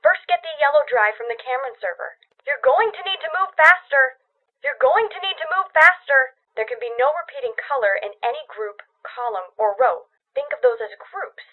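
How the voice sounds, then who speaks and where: A video game spy handler giving orders. Her voice sits a little high, with a directive, businesslike manner. It comes through a narrow, phone-like radio line. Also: lively intonation, normal speed. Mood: instructional, commanding. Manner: directive, instructional. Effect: radio or phone filter. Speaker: game character, mission handler.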